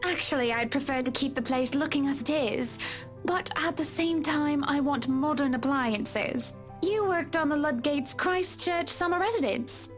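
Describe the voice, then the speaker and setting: A woman's voice: sits high, a little slow. A woman voicing a poised, wealthy wife who talks business in a refined, assured way. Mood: businesslike. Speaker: wealthy client. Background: game music.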